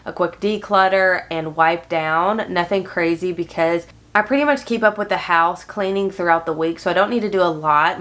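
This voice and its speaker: A woman in her thirties talks straight to the camera from a cozy living room. Her voice sits a little low and sounds nasal and stuffed up, as if her head is congested. She keeps a chatty, upbeat, friendly tone. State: congested from allergies. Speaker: lifestyle vlogger. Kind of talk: casual talk to camera.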